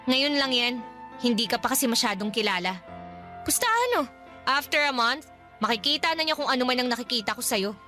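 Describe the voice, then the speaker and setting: Sharp, high-voiced resentful Filipina daughter. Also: female voice, big pitch swings, a little fast, soft background music. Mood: bitter, sarcastic. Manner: confrontational, sarcastic. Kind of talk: Filipino radio drama acting.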